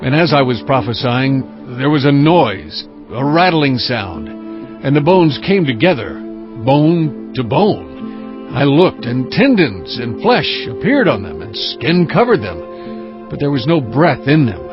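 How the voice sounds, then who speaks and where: An actor playing a reverent prophet, recounting visions in solemn, earnest, dramatic scripture narration. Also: male voice, medium pitch, very animated, measured pace. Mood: earnest, awed. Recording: dull audio under prominent music. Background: music.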